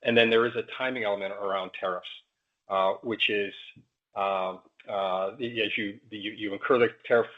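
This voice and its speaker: A man answering questions as a company finance executive on an earnings call, speaking haltingly and repeating words. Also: lowish voice, steady tone. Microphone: conference call line. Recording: muffled conference-call audio.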